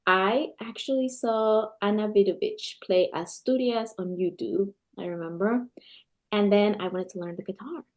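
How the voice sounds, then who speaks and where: A woman's voice: medium pitch, normal speed. A woman from Peru, a guitarist and scholar in her thirties, answering interview questions with enthusiastic storytelling. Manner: enthusiastic, storytelling with occasional restarts.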